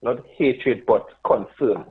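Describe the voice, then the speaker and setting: A man in his thirties with a Tobagonian accent, calling into a radio show and speaking earnestly with halting restarts. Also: medium pitch, breathy edge. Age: 30s. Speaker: caller. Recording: phone line.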